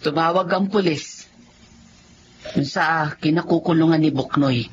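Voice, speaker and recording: A woman's voice: low-pitched, measured pace, dull audio, noisy background. A Filipino actress playing a caring middle-aged mother in a radio drama, anxious, coaxing and protective of her daughter. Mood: gently worried.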